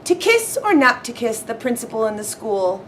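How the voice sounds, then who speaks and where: A woman's voice: a little high, big pitch swings, moderate pace, fairly bright tone, slight background noise. A woman on a school's staff reading a children's story aloud.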